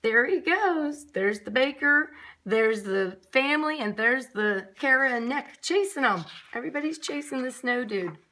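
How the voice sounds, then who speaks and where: A woman's voice: on the high side, lively intonation, moderate pace, sharp tone. A woman nursery teacher reading a picture book aloud expressively, with chatty asides to young children. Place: classroom, indoors.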